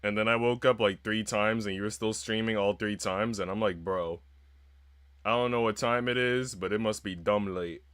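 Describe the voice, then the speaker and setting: A man's voice: on the low side, fairly even intonation, normal speed, a bit bright, clear, resonant tone, no echo. A man livestreaming a game, chatting casually and informally with plenty of slang. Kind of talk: casual livestream chat, reading game narration aloud.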